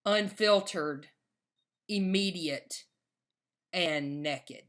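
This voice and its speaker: A woman poet giving a poetry reading with a measured, emphatic delivery. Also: lowish voice, a bit bright.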